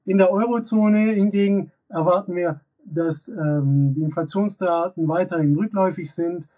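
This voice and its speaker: A man in his thirties giving analytical market commentary as a guest expert, pausing often with fillers and restarts. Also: high voice, warm tone, far from the mic. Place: office with glass wall.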